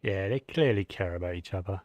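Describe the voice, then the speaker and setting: A man's voice: sits low, brisk, darkish tone. A man giving casual, laid-back reaction commentary over a game he is streaming, in brief remarks.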